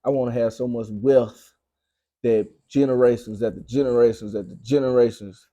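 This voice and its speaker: Young male rapper as interview guest. Southern US accent, mellow tone, dry close sound, slangy and repetitive for emphasis. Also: medium pitch, steady tone, moderate pace, no echo, lo-fi sound. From Arkansas, United States. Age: young adult. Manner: slangy, repeats phrases for emphasis. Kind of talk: casual interview talk.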